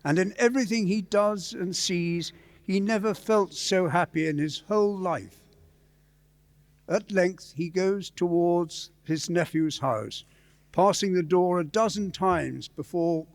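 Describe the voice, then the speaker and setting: A man narrating a scripted story as part of a staged radio play. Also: sits high. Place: live performance in a church.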